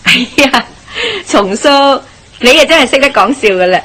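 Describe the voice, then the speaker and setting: A nosy, kindly village wife in a Cantonese radio play from Hong Kong. Her voice sits fairly high, with eager, gossipy exclamations. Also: lively intonation, normal speed, sharp tone. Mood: cheerful, curious. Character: chatty, nosy, warm-hearted village married woman. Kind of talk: radio drama acting.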